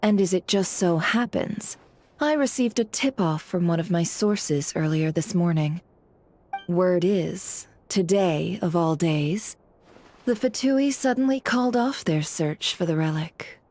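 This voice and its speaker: A woman's voice: lowish voice, a little slow, darkish tone, slight background noise, close to an everyday voice. A woman playing a shrewd, coolly self-assured information broker in a video game, teasing and knowing, fond of pointed rhetorical questions. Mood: teasing, probing. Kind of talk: video game character acting.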